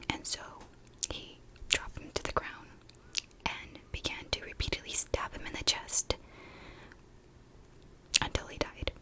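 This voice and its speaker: Slow whispering woman, ASMR storyteller. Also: poor audio. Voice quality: soft, breathy whisper. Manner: casual, gentle storytelling in short, halting phrases. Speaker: ASMR creator and true crime storyteller.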